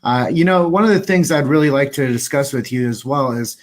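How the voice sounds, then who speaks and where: A man's voice: mid-range voice, fairly quick. A man hosting an interview podcast over a video call, speaking in a promotional way and turning to address the viewers directly. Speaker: podcast host. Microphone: desktop USB podcast mic.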